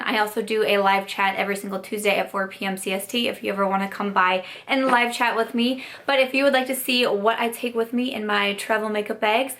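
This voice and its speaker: A young woman with a hoarse, raspy voice, talking in a bubbly, casual way as a beauty vlogger. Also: mid-range voice, a bit bright. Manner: bubbly, upbeat, lots of "like". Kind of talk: casual chatty vlog.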